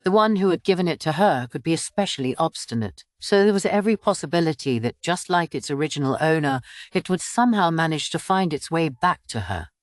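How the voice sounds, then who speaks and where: A woman narrates fiction for an audiobook. Her voice is low, yet it moves with very animated intonation. The recording is dry and close, suited to expressive scripted storytelling. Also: brisk, hardly any pauses, dry recording.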